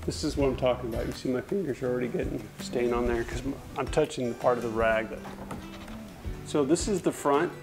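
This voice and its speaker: A man's voice: medium pitch, fairly quick. A man in a workshop talking casually while he brushes and wipes stain, rambling and correcting himself mid-sentence, recorded on a lapel mic. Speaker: furniture refinisher. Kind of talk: casual how-to narration while working. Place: indoor workshop at a paint-spattered table. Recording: music playing under the voice. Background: music.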